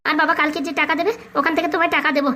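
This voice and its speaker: A woman's voice: high-pitched, flat delivery, rapid-fire, pushed and bright, slight background noise. A woman playing an anxious, modest young bridegroom in a comic cartoon, fretful in manner. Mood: earnest. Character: anxious, modest young man about to marry.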